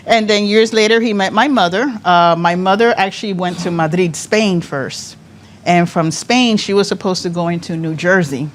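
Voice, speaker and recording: A woman's voice: lowish voice, moderate pace, a little hiss or hum. A woman in her fifties, born in Cuba, a pageant director telling her life story as an interview guest, laughing often and restarting her sentences. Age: 50s. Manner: lively, laughs often, talks with many restarts.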